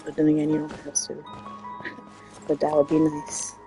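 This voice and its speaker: A man's voice: on the high side, completely flat delivery, a little slow, over music. A man chatting casually as a game streamer, rambling and hesitant. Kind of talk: casual livestream chat.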